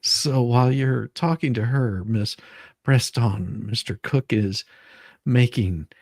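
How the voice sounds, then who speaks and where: Man, co-host, creaky gravelly voice, informal halting read-aloud, casual banter, close dry sound. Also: mid-range voice, darkish tone, no room sound. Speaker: co-host reading a deposition transcript aloud. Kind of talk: casual banter and dramatic reading of a transcript.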